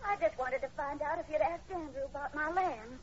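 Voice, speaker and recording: A woman's voice: high voice, a little fast, a crowd in the background, lightly acted. An actress playing a timid, pleading, sheltered young girl in 1940s radio horror style. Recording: dull, crackly old radio broadcast recording.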